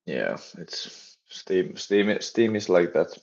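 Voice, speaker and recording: Finnish guy streaming, deep gravelly voice, keeps restarting his sentences. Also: very animated, darkish tone, highs cut off. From Finland. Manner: halting, many restarts and fillers. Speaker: livestreaming startup developer. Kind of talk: casual live stream chat.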